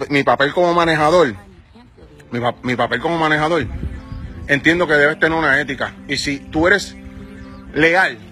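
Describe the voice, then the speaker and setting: A man's voice: on the high side, pushed and bright. A man in his forties with a Puerto Rican accent, a music manager ranting heatedly into his phone outdoors, firing rhetorical questions at his followers. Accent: Puerto Rican Spanish. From Puerto Rico. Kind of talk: angry selfie-video rant. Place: outdoors on a sunny residential street. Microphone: phone at arm's length.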